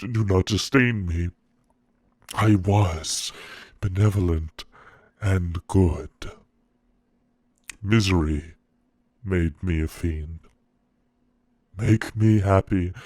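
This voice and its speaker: A man performing a dramatic monologue as a wretched, bitter monster pleading with his creator, solemn and theatrical with halting pauses. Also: low-pitched, darkish tone, no room sound. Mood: miserable, pleading.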